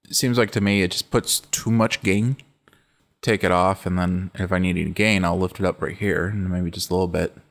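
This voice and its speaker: A middle-aged man with a low voice hosting a casual music-production walkthrough, relaxed and rambling, often correcting himself mid-sentence. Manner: relaxed, rambling, self-correcting, laughs easily. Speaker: music production tutorial host. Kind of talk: casual screen-recorded walkthrough.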